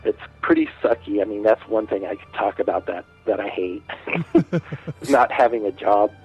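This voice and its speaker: A man's voice: on the low side, animated, normal speed, breathy edge, soft background music. A man in his late forties, a guest artist, chatting casually and rambling a bit over a phone line. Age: 40s. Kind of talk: casual interview chat.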